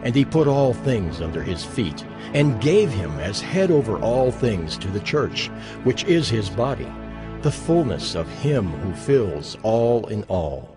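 A man reading scripture as a dramatized narration, solemn and measured, with emphatic phrasing. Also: lowish voice, sing-song. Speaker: Bible narrator. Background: music under the voice.

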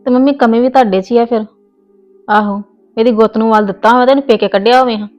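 A woman's voice: fairly high pitch, fairly even intonation, quick pace, darkish tone, lo-fi sound, faint music behind, natural voice. A woman playing a stubborn young wife in a family skit, scolding and insistent. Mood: soothing, scolding. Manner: scolding, insistent, voice rising in argument. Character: young married woman, stubborn, quick to argue. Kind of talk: scripted family comedy skit.

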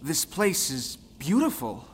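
A man's voice: fairly high pitch, wildly swooping pitch, takes it slowly, slight background noise, heavily acted. A man voicing a wistful young boy in a folktale audio drama. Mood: wistful wonder.

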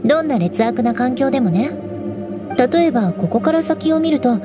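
An actress playing a proud, composed young female knight of noble birth in a Japanese anime game, speaking in a poised, measured, aloof way. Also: sits high, expressive, over music. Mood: poised, instructive. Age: young adult.

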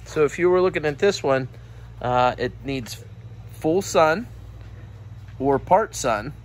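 A man's voice: fairly high pitch, animated, slow pace. A man casually asking questions and reading details aloud, recorded on a handheld camera. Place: greenhouse. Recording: faint background noise, handheld camera video.

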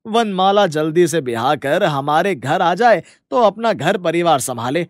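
A man plays the groom's father in a cartoon village tale. He is impatient and firm, pressing his point in a rather high voice, recorded dry. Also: expressive, a little slow, no echo.

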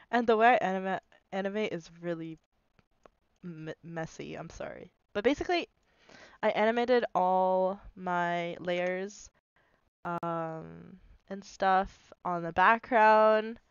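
A woman's voice: on the low side, takes it slowly, smooth, no echo, dull audio. A young woman sounding nasal from a cold, casually rambling through a walkthrough of her animation with lots of fillers. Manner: rambling, filler-heavy, self-deprecating. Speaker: animator explaining her video. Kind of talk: casual screen-recorded walkthrough.

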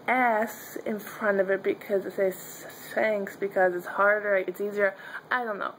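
Slow-talking young woman who stutters. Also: medium pitch, a little hiss or hum, low-quality recording. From Pennsylvania, US. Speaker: vlogger. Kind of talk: casual video diary. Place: dim bedroom, indoors. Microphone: webcam or phone held close.

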